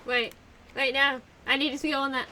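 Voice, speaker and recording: A woman's voice: high-pitched, level tone, moderate pace, pushed and bright, slight background noise. A young woman streaming a game from home, giggling and talking to herself as she plays. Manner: giggly, talks to herself while playing. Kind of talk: casual gameplay commentary.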